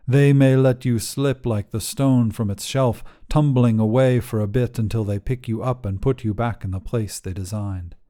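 A man narrating a literary story as a weary, desolate immortal guardian, in a solemn, measured, brooding manner. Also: fairly low pitch, warm tone, lightly acted. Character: weary, desolate immortal guardian, forsaken by gods, telling their tale.

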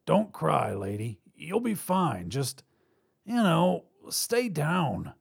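A man performs an agitated, unsure male bank robber for short audio fiction. He pitches his voice up and swings it around, blurting slangy, hesitant phrases. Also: huge pitch swings, takes it slowly, vocal fry, breathy edge, exaggerated voice. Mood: uneasy reassurance.

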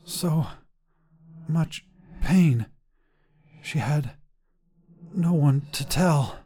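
A man voicing an anxious, ashamed woman in a short story, speaking in a halting, strained way. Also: fairly high pitch, mellow, slightly rough, pitched above the performer's own voice, full character voice. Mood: pained. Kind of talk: audio short story character voice.

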